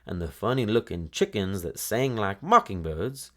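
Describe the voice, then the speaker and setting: A man reading a children's story aloud as an expressive storyteller. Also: on the low side, normal speed.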